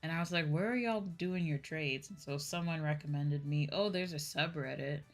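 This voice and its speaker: A woman's voice: low voice, normal speed, soft background music. A woman streamer chatting casually and candidly to her viewers in a rambling, conversational way. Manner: conversational, candid, ranting with swearing. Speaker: streamer and VTuber host.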